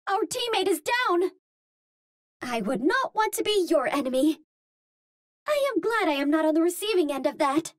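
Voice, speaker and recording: A cute young female Martian explorer for a video game. Her voice is extremely high and girlish, with lively melodic swings. She speaks at an unhurried pace, choosing formal, precise words with earnest politeness. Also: no echo, clean studio sound. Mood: alarmed, then admiring, grateful. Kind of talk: video game hero callouts.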